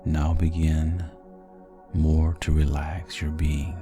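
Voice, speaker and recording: A man narrating a guided sleep hypnosis in a soothing, gentle and measured manner. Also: extremely low pitch, monotone, over music.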